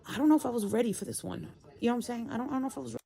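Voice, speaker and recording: Woman, somewhat high pitch, streamer, rambling, halting, emphatic repetition. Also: vocal fry, mellow, slightly rough. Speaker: online streamer commenting on news. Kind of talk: rambling off-the-cuff talk.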